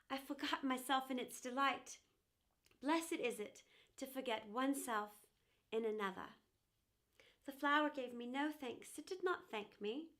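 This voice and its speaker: Woman as a high-voiced proud storybook teapot. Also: bright, forceful tone, heavily acted. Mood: wistful. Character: proud, self-important porcelain teapot, later humbled and wistful. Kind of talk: children's storybook character narration.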